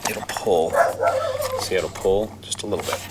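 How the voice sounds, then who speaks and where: A man's voice: low-pitched, normal speed, heavy background noise. A man hosting a DIY video, narrating hands-on work casually and informally, sometimes muttering to himself as he goes.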